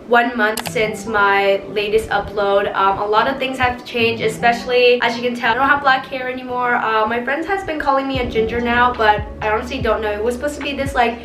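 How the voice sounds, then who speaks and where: A teenage girl vlogger giving a casual, bubbly room tour, her voice still a bit raspy, with lots of "like" and "literally". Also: a little high, steady tone, a little fast, hardly any pauses, noisy background. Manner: bubbly, chatty, says "like" and "literally" a lot. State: slightly raspy voice.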